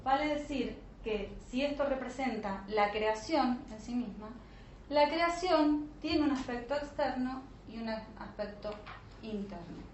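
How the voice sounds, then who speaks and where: A woman teaching a class in an explanatory lecture style, often pausing with short check-in questions. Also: on the high side, a little mellow. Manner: didactic, frequent check-in questions. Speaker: teacher of an online spiritual alchemy course. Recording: roomy echo, dull lo-fi sound, faint noise.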